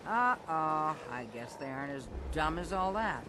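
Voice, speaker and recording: An actor playing a folksy, blunt, combative militia soldier rallying comrades in a game. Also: male voice, on the high side, expressive, normal speed, close to an everyday voice. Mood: grim satisfaction. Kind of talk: battlefield dialogue in a game. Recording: very loud battlefield and engine noise.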